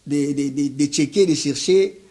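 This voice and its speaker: Earnest Congolese man, dark mellow tone. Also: fairly high pitch, moderate pace. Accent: Congolese French. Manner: earnest, repeats words while searching. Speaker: guest musician. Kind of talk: casual interview talk mixing French and Lingala.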